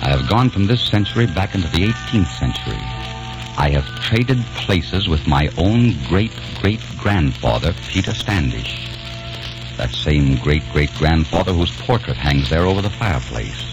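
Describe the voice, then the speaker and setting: A man's voice: a bass voice, expressive, moderate pace, over music. An actor playing a young American man obsessed with the past, in an old radio drama, narrating earnestly. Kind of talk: 1940s radio drama acting. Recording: dull old radio recording.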